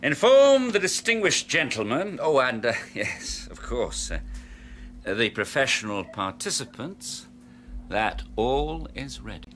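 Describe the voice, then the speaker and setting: An actor playing a cold, arrogant scientist, clinical and dismissive. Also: male voice, mid-range voice, sing-song, unhurried, a bit bright, faint music behind. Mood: smug, excited. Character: Doctor Brodsky, cold arrogant male scientist.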